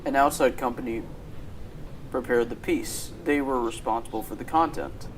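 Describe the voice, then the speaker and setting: A man's voice: medium pitch, normal speed, slight background noise, lightly acted, rough recording. A young man acting in a radio drama as a defensive, troubled young company executive. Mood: guarded, evasive. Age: teenager.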